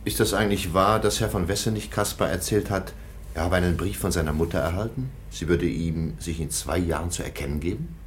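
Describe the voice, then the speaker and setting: An actor playing a serious, educated gentleman in a radio drama, formal and precise in speech. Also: low-pitched, fairly quick, slight background noise, close to an everyday voice. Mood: concerned. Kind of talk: German radio drama acting.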